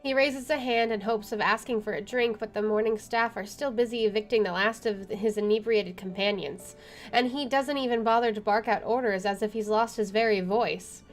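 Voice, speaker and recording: A woman's voice: a little high, steady tone, moderate pace, faint music behind. A woman game streamer doing a visual novel playthrough, moving between reading narration and casual commentary.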